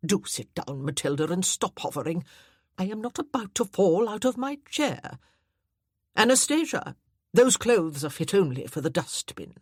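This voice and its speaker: A woman voicing an imperious elderly dowager countess who speaks bluntly and deliberately. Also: on the low side, big pitch swings, husky, no room sound, studio quality.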